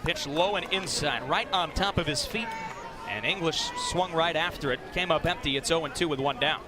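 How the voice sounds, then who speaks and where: A young man calling live sports play-by-play for radio in crisp, clipped announcer phrasing. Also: on the high side, moderate pace, a crowd in the background. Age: young adult. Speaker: play-by-play broadcaster. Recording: live sports broadcast with venue background noise.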